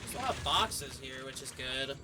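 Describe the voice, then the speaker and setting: A man's voice: medium pitch, expressive, normal speed, noisy background. A man giving casual, offhand, slangy gameplay commentary as a streamer. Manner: offhand, slangy, mild swearing. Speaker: game streamer. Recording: game music behind the voice.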